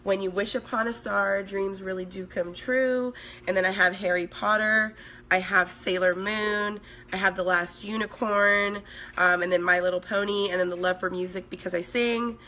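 A woman in her thirties from Sweden, a makeup artist chatting on a live stream from home with a hoarse, recovering voice while she works and reads out viewer comments. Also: mid-range voice, steady tone, moderate pace, sharp tone, slight background noise. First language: Swedish. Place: indoors at home, small room. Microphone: phone at arm's length.

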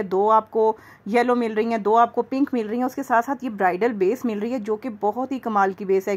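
A woman with a Pakistani accent hosting a makeup tutorial in a chatty, conversational way, explaining things step by step. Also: a little high. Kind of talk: instructional demo voice-over, conversational.

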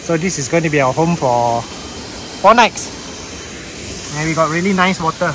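A man with a Malaysian English accent narrating a relaxed camping vlog outdoors on a sandbank, on a handheld camera mic. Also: fairly high pitch, normal speed. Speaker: camping vlogger. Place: outdoors on a sandbank beside a loud waterfall. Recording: loud waterfall noise behind the voice.

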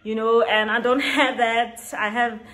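A woman's voice: fairly high pitch, flat delivery, unhurried, fairly bright tone. A woman in her late twenties chatting casually to camera in a get-to-know-me vlog, laughing often and stretching words for emphasis. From South Africa. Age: 20s. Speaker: YouTuber. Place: small indoor room with shelves.

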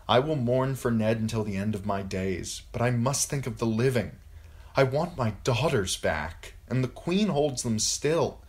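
Fantasy audiobook part: male reader as grieving noblewoman, impassioned, earnest, resolute, lifted pitch. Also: natural voice. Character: grieving noblewoman, mother and widow, pleading, resolute. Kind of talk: audiobook fantasy character acting.

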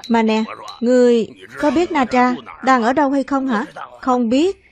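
A woman dubbing the characters of an animated film, with music behind her. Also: high-pitched, a little slow. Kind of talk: dubbing all roles of an animated film in Vietnamese.

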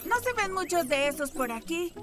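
A woman's voice: extremely high pitch, very animated, moderate pace. A woman voicing a small, eager, friendly young monkey-like creature in a children's cartoon. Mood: curious. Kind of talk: animated children's cartoon dub. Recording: loud music under the voice.

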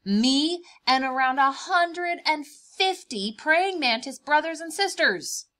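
A woman voicing a cheerful, boastful young praying mantis in a picture-book read-aloud, expressive and emphatic, stretching out words. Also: sits high, slow pace, pitched above the performer's own voice, full character voice. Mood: excited, wide-eyed.

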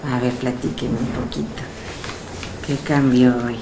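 A middle-aged woman with a Mexican accent, a hypnotherapist who guides a session with soft, slow suggestions and then chats warmly with her client in a small indoor room. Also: a bass voice, noisy background. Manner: soft, slow counting and suggestions, then lively coaxing questions.

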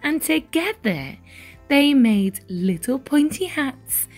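A woman reading a children's storybook aloud as storyteller, with deliberate, dramatic emphasis on key words. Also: sits high, big pitch swings, darkish tone, faint music behind. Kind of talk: expressive read-aloud storybook narration.

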